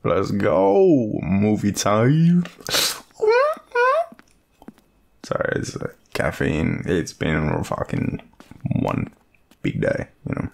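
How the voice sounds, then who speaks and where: A man acting as an awkward young Australian guy, sweet on his friend, in an intimate audio roleplay. His voice is low and full of gravelly fry, swinging widely in pitch. He rambles and hesitates, joking at his own expense. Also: wildly swooping pitch, slow pace, a little mellow, a little hiss or hum, heavily acted. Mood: playful, relaxed. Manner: rambling, hesitant, self-deprecating, swearing. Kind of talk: intimate casual roleplay, half-improvised.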